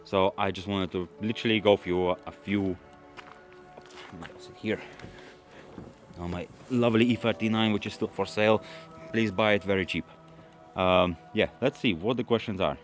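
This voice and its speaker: Vlogger, male, from London, Russian his first language; deep, easygoing, meandering Q&A talk. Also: normal speed, pauses often, faint music behind. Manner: relaxed, rambling, with frequent fillers and restarts. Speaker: automotive YouTuber. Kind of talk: casual Q&A chat to camera.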